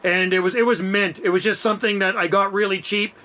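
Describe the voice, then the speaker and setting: A man in his fifties with a high, bright, forceful voice chats over two-way radio. He talks casually and enthusiastically, in easygoing slang. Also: steady tone, fast talker. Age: 50s. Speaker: amateur radio operator.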